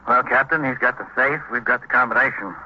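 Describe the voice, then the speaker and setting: A man's voice: mid-range voice, fast talker, a little hiss or hum, natural voice. An actor playing a respectful, plainspoken American policeman in a vintage radio crime drama, speaking in a deferential, matter-of-fact way. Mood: earnest, practical. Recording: dull, lo-fi vintage radio recording.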